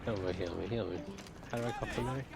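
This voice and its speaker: A man's voice: fairly low pitch, fast talker, creaky. A man streaming himself playing a shooter game, chatting casually and muttering and swearing about his own play.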